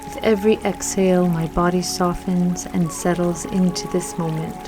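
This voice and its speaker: Gentle woman guiding meditation over music. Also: fairly low pitch, little pitch movement. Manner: gentle, soothing, unhurried phrasing with long pauses. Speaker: guided meditation host. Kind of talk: guided meditation affirmations, scripted. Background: music and trickling water.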